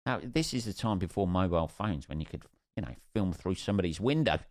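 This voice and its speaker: Low-voiced sweary Northampton man. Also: expressive, fast talker, darkish tone, no room sound, clean studio sound. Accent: English, Northampton. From England. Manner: sweary, rambling, jokey asides. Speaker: podcast host, stand-up comedian. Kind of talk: casual solo podcast storytelling.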